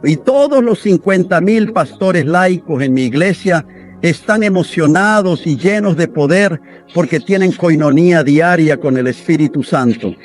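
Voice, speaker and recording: A man delivering a sermon as a voice-over, in an earnest, steady preaching manner. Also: on the high side, unhurried, faint music behind.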